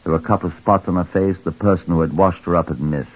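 A man's voice: low-pitched, steady tone, fast, no room sound. A man playing a shrewd police chief inspector who narrates wryly, in 1940s radio acting style. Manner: wry, reflective narration. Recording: lo-fi vintage radio recording.

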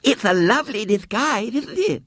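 A man voicing a small, shrill, spoilt schoolgirl who is lisping, stubborn and demanding, in a children's storybook reading. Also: expressive, moderate pace, fairly bright tone, no echo, studio quality. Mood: pleased.

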